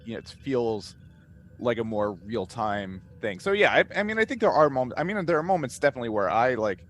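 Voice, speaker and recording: A man in a casual panel chat as a game master, rambling and halting as he thinks aloud. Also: medium pitch, very animated. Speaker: podcast cast member and game master. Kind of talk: casual panel chat answering fan questions. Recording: noticeable background noise.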